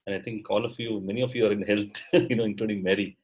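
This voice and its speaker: A man's voice: fairly low pitch, steady tone, quick pace, a little mellow, breathy edge. A man from India leading a coaching session on a video call, encouraging, often saying "absolutely" and "you know". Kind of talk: facilitating a group video call.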